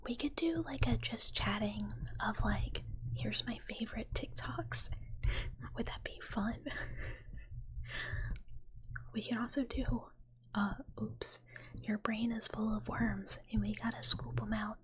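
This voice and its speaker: A woman livestreamer chatting with viewers in a playful, cutesy ASMR style, in a breathy whisper. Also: on the high side, takes it slowly, long pauses, fairly bright tone.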